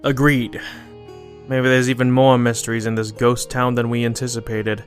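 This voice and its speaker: A man playing a dry, learned monster hunter in an audio drama, with a measured and wry delivery. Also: mid-range voice, little pitch movement, lightly acted. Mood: wry, composed. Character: dry, knowing male monster hunter with crossbow. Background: background music.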